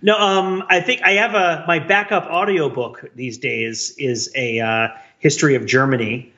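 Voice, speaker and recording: A man's voice: a little high, fairly even intonation, normal speed, pushed and bright, muffled recording. A man co-hosting a podcast, chatting casually in a wry, rambling way. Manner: wry, rambling, prone to chuckling. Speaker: podcast co-host. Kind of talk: casual bantering podcast chat.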